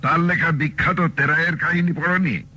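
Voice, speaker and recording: A man's voice: a little high, fairly even intonation, a little fast, pushed and bright, breathy edge, exaggerated voice. A man playing a chatty, teasing seventy-three-year-old know-it-all in an old radio drama, talking emphatically. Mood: storytelling. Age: 70s. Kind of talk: 1970s radio drama acting. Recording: old radio recording with music.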